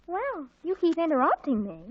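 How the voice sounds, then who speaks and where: A woman playing an excitable, bossy teenage girl in a 1940s radio comedy, dramatic and wheedling. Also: extremely high pitch, normal speed, full character voice. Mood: excited, bossy. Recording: muffled 1940s radio recording.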